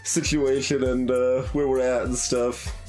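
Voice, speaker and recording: A man's voice: mid-range voice, little pitch movement, unhurried, a little mellow, faint music behind. A man streaming a game playthrough, talking casually to viewers in a hesitant, rambling way as he thinks aloud.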